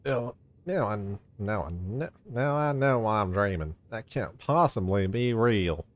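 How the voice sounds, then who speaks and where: A man's voice: on the low side, lively intonation, unhurried. A man giving casual, irreverent and profane gameplay commentary in an amused way. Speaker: game streamer. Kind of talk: casual gameplay commentary, reading dialogue aloud.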